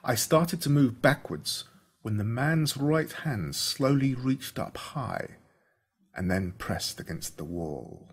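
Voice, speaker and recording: An Australian man reading a first-person story in a dramatic, suspenseful way, slowing down on emphatic words. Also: medium pitch, sing-song, husky. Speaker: audiobook narrator.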